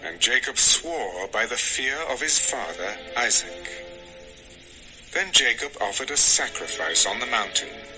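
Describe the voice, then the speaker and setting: A male narrator tells a scripture story as scripted drama. His mid-pitched voice rises and falls in an animated way. Music plays clearly underneath. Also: unhurried, low-quality recording.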